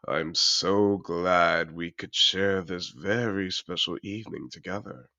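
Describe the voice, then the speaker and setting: Man acting as very deep, seductive aristocrat dragon. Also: slow, sharp tone, dry recording, exaggerated voice. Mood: grand, gracious. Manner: courtly, formal, smooth-talking. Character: suave, seductive male dragon disguised as wealthy aristocrat. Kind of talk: romantic fantasy audio roleplay.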